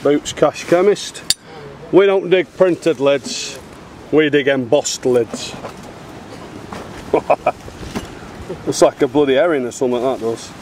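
A man's voice: medium pitch, takes it slowly, pauses often. A middle-aged man, chatting casually and bluntly as he shows finds on a handheld camera outdoors. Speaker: amateur bottle digger filming finds. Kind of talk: casual chat, showing finds. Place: outdoors in a wooded dig site. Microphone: handheld camera microphone. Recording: clear outdoor background noise on handheld camera.

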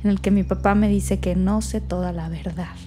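A resentful young Mexican woman played by an actress mutters to herself in a hesitant, sarcastic audio drama monologue. Her voice sits at an ordinary middle pitch. Music runs clearly behind her. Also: fairly even intonation, normal speed, natural voice. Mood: bitter. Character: wry, resentful young woman recording her thoughts alone. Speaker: lead actor in audio drama.

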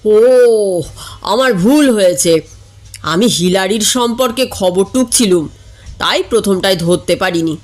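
A woman's voice: medium pitch, takes it slowly, voice pushed down, natural voice. A woman storyteller voicing a mild, absent-minded, bookish middle-aged man in a puzzled, apologetic manner.

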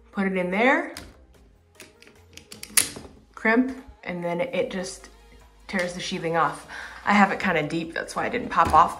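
A woman casually showing how to use a tool indoors while filming with one hand on a handheld camera. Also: fairly low pitch. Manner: relaxed, unhurried, instructive. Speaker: vlogger demonstrating a tool. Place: indoors in a room under renovation.

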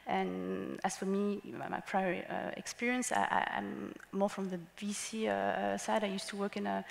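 A woman in her thirties speaks as a panelist, hesitating and repeating words as she goes. Her voice has a gravelly fry. A headset mic picks her up in a big hall with long reverb. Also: mid-range voice, moderate pace. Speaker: panelist, investor. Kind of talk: panel discussion. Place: large conference hall stage.

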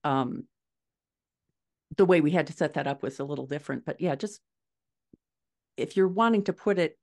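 A woman's voice: low voice, moderate pace, a little mellow. A middle-aged American woman explaining things conversationally as an interview guest, thinking aloud on a video call from home. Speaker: guest author and podcaster. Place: living room at home, indoors, on a video call.

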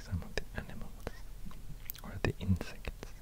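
A man with a Swedish accent giving soft, whispery ASMR narration in a hushed, gentle manner, close to a binaural mic. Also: unhurried. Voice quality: whispery, breathy, unvoiced. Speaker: ASMR video host. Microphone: close binaural microphone.

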